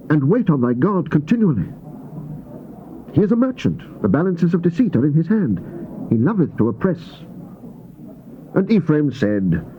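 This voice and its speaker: A man gives a grave, theatrical scripture reading, declaiming with deliberate phrasing and emphatic pauses. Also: a little high. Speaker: scripture narrator. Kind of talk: dramatized Bible reading, solemn and theatrical. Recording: dull, noisy old cassette tape transfer.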